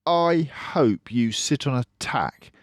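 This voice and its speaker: Casting: man to play put-upon small boy for a storybook, sulky, aggrieved, slow and very animated in pitch. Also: no echo, clean studio sound. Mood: spiteful. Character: grumpy, put-upon little boy complaining about his day. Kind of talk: storybook character voice.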